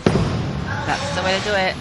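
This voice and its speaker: A woman co-commentates a bowling match. She speaks slowly at a middling pitch, in an informal, giggly way. Heavy bowling alley noise surrounds her voice. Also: animated. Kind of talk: casual live sports commentary.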